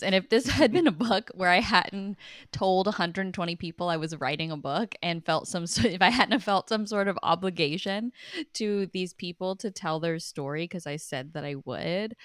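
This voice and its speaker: Low-voiced woman, smooth and earnest. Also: no room sound, studio quality. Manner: earnest, chatty, laughs easily. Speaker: podcast guest, writer and documentary producer. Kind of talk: conversational interview answers, reflective storytelling.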